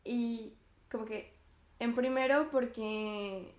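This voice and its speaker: A woman's voice: on the high side, steady tone, slow, a little mellow, roomy sound. A woman, hosting a podcast in a chatty, reflective way, with lots of fillers and little laughs. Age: 20s. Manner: chatty, many fillers and self-conscious laughs. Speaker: podcast host. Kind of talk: reflective casual monologue.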